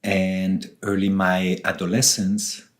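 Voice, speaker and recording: A man from southern Switzerland with a low voice answers as an interview guest. He speaks very slowly and deliberately, setting out reflective thoughts in short phrases with pauses between them. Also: monotone. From Switzerland, southern part. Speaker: author and ayahuasca facilitator, interview guest.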